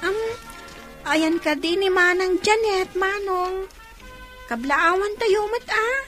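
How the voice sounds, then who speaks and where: An eager, cheerful little sister in a radio drama. Her girlish voice sits extremely high and sounds affectionate, with faint music behind it. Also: slow pace, fairly bright tone, full character voice. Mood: happy, curious. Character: cheerful, devoted young girl, the little sister.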